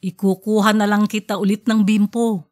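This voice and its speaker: A woman's voice: medium pitch, little pitch movement, moderate pace, a little mellow, close to an everyday voice. Woman voicing a practical, opinionated housewife. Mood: matter-of-fact. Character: practical, opinionated wife and mother. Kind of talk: audiobook character dialogue.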